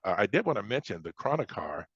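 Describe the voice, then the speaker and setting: Low-voiced American man, dull sound. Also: sing-song, fast talker, a bit bright, slightly rough, no echo. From Chicago, United States. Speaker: science fiction author, interview guest. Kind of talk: casual interview chat.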